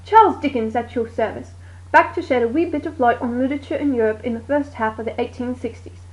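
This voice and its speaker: A jaunty, boastful Victorian English novelist played by a woman, pitched high. It is a puppet show monologue on a dull home recording. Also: fast talker, darkish tone, lightly acted. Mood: jaunty, self-satisfied.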